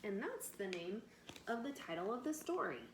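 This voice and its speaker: A woman's voice: a little high, sing-song, normal speed. A woman reading a storybook aloud expressively to children, with simple explanations, like a teacher. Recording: roomy echo with faint background noise.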